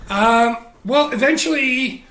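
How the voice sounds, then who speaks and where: Brief: middle-aged man from Canada, chatty guest, animated storyteller, forceful tone. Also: very high-pitched, roomy sound. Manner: animated, laughing often, quick asides. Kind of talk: casual storytelling interview.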